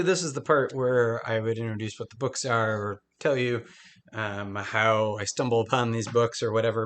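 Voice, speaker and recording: Male podcast host, upbeat and chatty, casual interview tone, ordinary middle pitch. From Michigan. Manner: chatty, upbeat, frequent ums and laughs.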